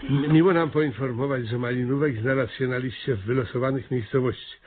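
An actor playing a pompous, scheming and excitable small-town mayor in a radio comedy, blustering and often hesitant. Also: male voice, medium pitch, fairly quick, phone-quality sound, background noise, close to an everyday voice. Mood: eager, fumbling. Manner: hesitant, blustering, self-important. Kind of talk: Polish radio comedy acting.

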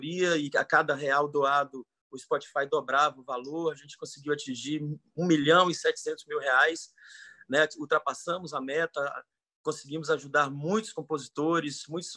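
A man's voice: on the high side, bright, forceful tone, no room sound. A man from Salvador, speaking earnestly and thoughtfully as a panel guest on a video call from home, often restarting his phrases. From Salvador, Bahia, Brazil. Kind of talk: online panel discussion. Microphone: computer mic on a video call.

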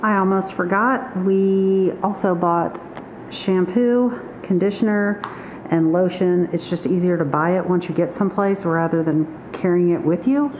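A middle-aged woman with a lowish voice talks casually through a haul for her vlog. She is plain-spoken and itemizes as she goes. The sound is roomy and echoey, with clear background noise. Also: unhurried, a little mellow. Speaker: vlogger. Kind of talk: casual grocery haul narration. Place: hard-surfaced kitchen indoors. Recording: echoey, muffled, noisy room recording.

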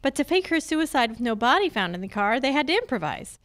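A woman's voice: sits high, sing-song, fast talker, fairly bright tone. A woman playing a confident, wry female private detective in an old-time radio drama, reading from a script on stage. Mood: confident, explaining. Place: on a stage, live performance. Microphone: handheld-style microphone on a stand.

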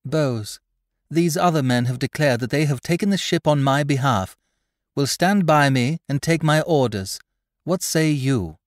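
A man's voice: high voice, big pitch swings, a little slow, darkish tone, dry recording, clean studio sound, voice pushed up. A man voicing a cool, blunt, commanding young woman in a seafaring storybook. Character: commanding, level-headed young woman aboard a mutinous ship. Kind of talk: audiobook character voice, period seafaring speech.

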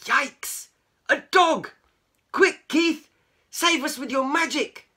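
A woman voicing excitable, teasing cats in a storybook read-aloud, squealing and exclaiming. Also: high-pitched, slow pace, voice pushed up, exaggerated voice. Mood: panicked. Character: crowd of excitable, teasing, loud cartoonish cats.

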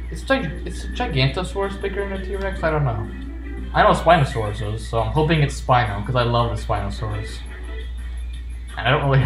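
Playful man commentating in an echoey room. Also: mid-range voice. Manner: playful, jokey, talking to game characters. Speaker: gaming video host. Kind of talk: casual gameplay commentary. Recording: roomy echo with game music behind. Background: video game music.

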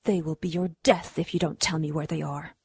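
An ancient demon queen for a radio play. Her voice is husky and recorded close and dry, with honeyed cruelty that hardens into imperious, mocking command. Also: low-pitched, steady tone, a little fast, darkish tone, no echo, full character voice. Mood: commanding, menacing. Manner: honeyed coaxing turning to haughty command. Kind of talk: radio drama acting.

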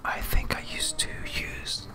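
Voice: unhurried, over music. A person whispering casual, rambling ASMR commentary while playing a game, thinking aloud. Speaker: host of a gaming series.

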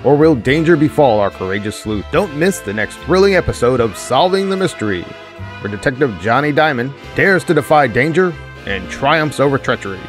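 A man's voice: mid-range voice, big pitch swings, a little fast, faint music behind, close to an everyday voice. A man voicing a gushing old-time radio announcer introducing a mystery show with dramatic promotional flair. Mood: suspenseful.

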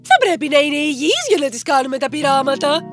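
A woman's voice: high-pitched, big pitch swings. A woman voicing a scheming, coaxing older woman scientist in a cartoon fairy tale. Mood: calculating. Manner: calculating, coaxing. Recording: music under the voice.

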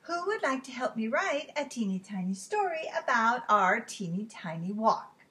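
A woman voicing a tiny, firm schoolteacher in a children's storybook, speaking in a deliberate, emphatic way. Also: a little high, sing-song, bright, forceful tone. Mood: encouraging.

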